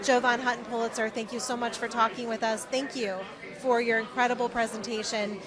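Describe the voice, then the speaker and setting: Female field correspondent, fairly high register, effusive and conversational, interviewing with a clear crowd around. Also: little pitch movement, brisk. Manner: effusive, supportive of guest. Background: crowd at an event.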